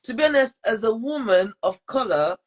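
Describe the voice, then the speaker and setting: A woman's voice: fairly high pitch, animated, normal speed. Chatty woman with a French accent. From Portugal. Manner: chatty, upbeat, with many fillers and restarts. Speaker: podcast host. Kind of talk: casual live interview.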